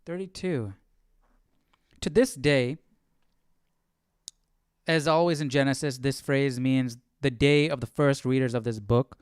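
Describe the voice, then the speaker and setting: Clear-voiced man thinking aloud, dry close sound. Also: medium pitch, a little slow, pauses often, no echo. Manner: informal, questioning, muttering to himself. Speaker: livestream Bible study host. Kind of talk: casual chat, thinking aloud.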